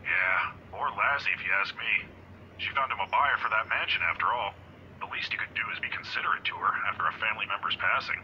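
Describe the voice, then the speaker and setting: A man's voice: on the low side, fast, dull audio, a little hiss or hum, lightly acted. A man voicing a chatty, kindly, fumbling old security guard in visual novel game dialogue, with a hesitant, forgetful way of talking. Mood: gossipy. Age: older.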